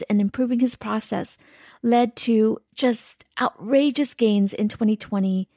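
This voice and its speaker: A woman co-host reading a scripted podcast introduction at a measured pace. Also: fairly high pitch, dark tone, dry recording, clean studio sound.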